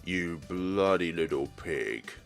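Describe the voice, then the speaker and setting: A man acts out a furious, menacing criminal boss in a visual novel. The voice is on the low side and stretches out threats with snarling emphasis, over faint music. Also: animated, takes it slowly, gravelly, exaggerated voice. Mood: menacing anger.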